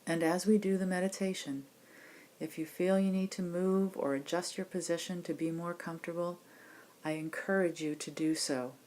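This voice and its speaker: A woman meditation teacher giving guided body scan instructions in a gentle, unhurried, reassuring manner. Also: lowish voice, steady tone, slight background noise. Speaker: meditation teacher leading a class program.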